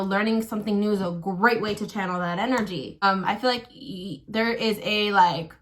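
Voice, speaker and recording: A young woman chatting casually about astrology while doing her makeup, soft-voiced and full of likes and ums. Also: a little high. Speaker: astrology vlogger. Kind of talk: casual get-ready-with-me chat. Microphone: built-in camera mic.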